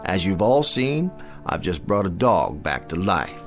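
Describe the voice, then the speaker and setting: An American actor in a radio drama playing a smooth-talking, grandiloquent frontier showman who claims to raise the dead. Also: sits low, sing-song, a little slow, music in the background, heavily acted. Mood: grand oratory. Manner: theatrical, grandiloquent, folksy salesman patter.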